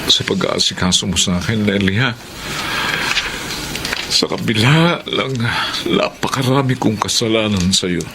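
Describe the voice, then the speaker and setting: A man's voice: lowish voice, unhurried, gravelly, background noise. A man acting an earnest husband in a Filipino radio drama. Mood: remorseful, tender. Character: earnest husband, by turns remorseful and startled by spending.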